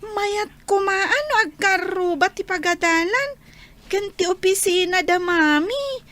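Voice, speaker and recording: A woman's voice: very high-pitched, a little slow, a bit bright. A woman playing an earnest young schoolchild in a radio drama, speaking in a childlike way. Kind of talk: Ilocano radio drama acting.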